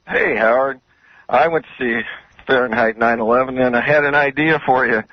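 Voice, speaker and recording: A man with a mid-pitched voice calls a talk radio show. He speaks casually, like a fan, over a dry, dull phone line. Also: fairly quick, no echo. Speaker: radio caller. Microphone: telephone.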